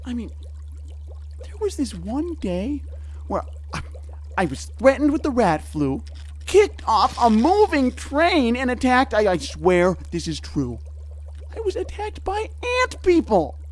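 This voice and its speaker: A man's voice: very high voice, huge pitch swings, measured pace, exaggerated voice. An actor playing a naive, dim, eager young man in an audio drama, stammering and earnest in a nervously upbeat way. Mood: earnest, consoling.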